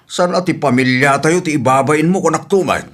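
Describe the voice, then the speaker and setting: A man's voice: fairly high pitch, a little fast, a bit bright. A man with an Ilocano Filipino accent playing a stern father scolding his wayward daughter in a radio drama. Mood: scolding, ashamed.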